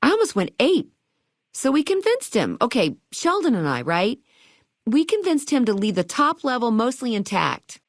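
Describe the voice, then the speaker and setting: A high-pitched, bubbly young shopkeeper from a video game. Her delivery is sassy and theatrical, swinging widely in pitch with retro slang, and the recording is close and dry. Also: sing-song, normal speed, dry recording. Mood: nostalgic, chatty.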